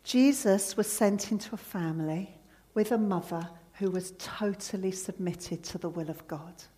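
A woman's voice: mid-range voice, moderate pace, dark tone. A woman preacher giving an earnest sermon, quoting scripture and hymn verses with emphasis.

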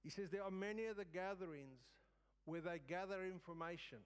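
A male preacher with a slightly high voice delivers a sermon in a reverberant hall. He moves haltingly through his thoughts, often repeating a phrase to drive it home. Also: clean tone. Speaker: guest preacher. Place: church service in a large room.

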